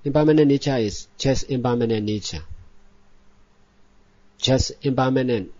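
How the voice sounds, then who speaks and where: A man guiding a meditation as a Buddhist teacher, speaking in deliberate short phrases with long pauses. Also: medium pitch, dark tone, dry recording. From Myanmar. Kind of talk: guided meditation instruction. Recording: dull lo-fi recording.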